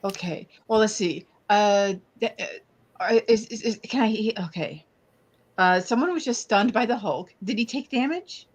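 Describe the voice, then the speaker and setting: Female tabletop player, average pitch, casual and tentative, thinking through her moves aloud. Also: moderate pace, breathy edge. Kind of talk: casual game chat.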